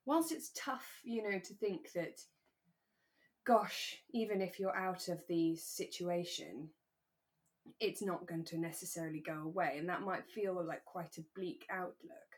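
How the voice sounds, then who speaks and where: A woman co-hosting a podcast, talking in a relaxed, earnest and reassuring way. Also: fairly low pitch, a little slow. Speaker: podcast co-host. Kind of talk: relaxed discussion of a radio drama.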